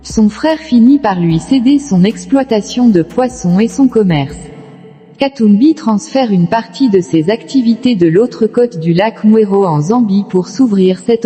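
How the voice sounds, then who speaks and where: A woman's voice: medium pitch, warm tone. A woman reading scripted documentary narration in the role of narrator. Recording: long reverb, music bed. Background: background music.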